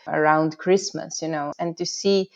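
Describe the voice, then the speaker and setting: A woman's voice: sits low, steady tone, a little slow, creaky, lo-fi sound. A woman from Croatia with a Croatian accent, answering conversationally as an interview guest. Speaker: interview guest, urban activism project founder.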